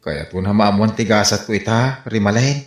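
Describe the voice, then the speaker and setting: A man with an Ilocano accent acting an excitable, pleading man in a rural comedy radio drama. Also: on the low side, moderate pace, reverberant, soft background music, natural voice. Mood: pleading, earnest.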